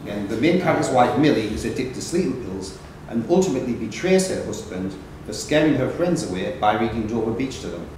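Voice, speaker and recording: A man's voice: mid-range voice, lively intonation, brisk, few pauses, a little mellow. A man working as a quiz question reader, reading long questions aloud at a live event and sometimes stumbling and correcting himself. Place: echoey indoor pub function room. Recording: very echoey noisy room, distant mic.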